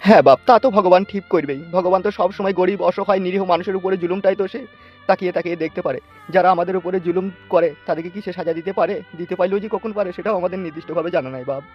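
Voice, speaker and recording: Casting: male actor as indignant poor cartoon dad, rapid and fairly high, slightly dull audio over music. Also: lightly acted. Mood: aggrieved, lamenting. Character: poor, aggrieved village father, weary and indignant. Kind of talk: comic cartoon dialogue in rural dialect.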